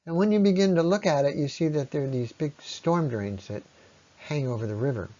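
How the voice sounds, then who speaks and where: A man narrating an informal slide presentation in an explanatory way, with halting pauses. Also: fairly high pitch, warm tone, highs cut off. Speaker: presenter on local ecology.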